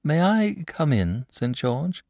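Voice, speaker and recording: A man voicing an excitable young village boy. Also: on the high side, normal speed, no room sound, clean studio sound, voice pushed up. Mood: polite. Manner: breathless, pleading.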